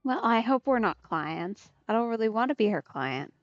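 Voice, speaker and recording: A woman's voice: a little high, very animated, normal speed, no echo, dull audio. A woman giving casual let's play commentary on a video game, with wry, conversational asides. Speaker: streamer playing a video game.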